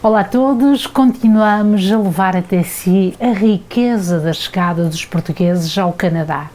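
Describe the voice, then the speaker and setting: Formal, halting female interviewer. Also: lowish voice, a little mellow. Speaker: host. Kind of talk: interview hosting.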